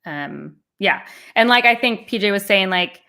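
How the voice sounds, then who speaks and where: A woman with a bright, forward voice hosts a casual chat about books. She rambles, with plenty of fillers and restarts. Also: mid-range voice, expressive, measured pace, vocal fry. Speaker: booktube host. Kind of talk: casual book discussion.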